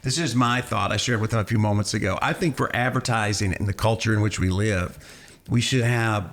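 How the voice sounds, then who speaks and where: A man's voice: fairly low pitch, brisk. A man hosting a casual church podcast chat, joking and rambling, often trailing off mid-sentence. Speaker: host, lead pastor.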